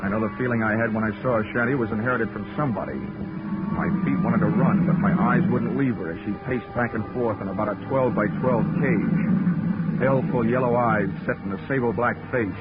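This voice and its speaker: An actor playing a wry insurance investigator with an American accent, deadpan and sardonic, in hardboiled 1940s radio style. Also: male voice, medium pitch, a little fast, over loud music, natural voice. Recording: tinny old radio broadcast recording.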